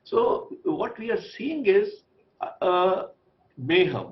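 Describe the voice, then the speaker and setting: Emphatic Indian man, slightly high voice. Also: sing-song, slow, highs cut off. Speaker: former ambassador as panelist. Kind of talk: live TV debate commentary.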